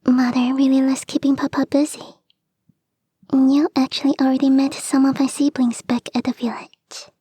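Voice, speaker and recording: A woman voicing a playful, devoted kitsune fox girl in a soft, intimate, whispery ASMR roleplay, teasing and breathy, close to the mic. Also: high voice, flat delivery, normal speed, no echo. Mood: fond, explaining. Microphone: close microphone, dry.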